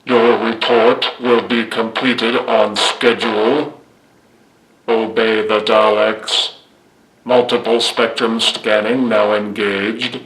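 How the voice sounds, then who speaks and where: A man voicing a commanding alien war machine through a grating toy voice changer filter, speaking in slow, flat, clipped commands. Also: lowish voice, reverberant, very noisy.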